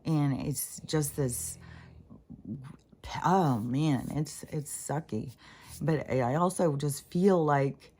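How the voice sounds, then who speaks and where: A woman's voice: sits low, very slow, dark tone, breathy edge. A woman with a scratchy voice, hosting her own spiritual channel in a loose, rambling monologue that drifts off mid-thought and swears freely.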